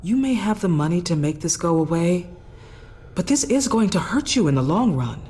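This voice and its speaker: A man's voice: high-pitched, sing-song, normal speed, warm tone. A man playing a stern, suspicious, authoritative asylum doctor in a video game drama. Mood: stern, insistent.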